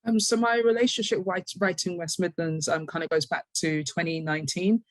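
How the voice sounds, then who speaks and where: A woman's voice: fairly low pitch, steady tone, brisk, no echo. A British woman speaking casually as an interview guest, a little hesitant and self-deprecating.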